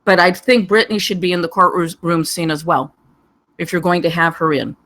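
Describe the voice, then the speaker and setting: A panelist giving digressive, halting spoken feedback on a script, wandering from point to point. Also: medium pitch, steady tone, pushed and bright. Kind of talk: rambling spoken critique.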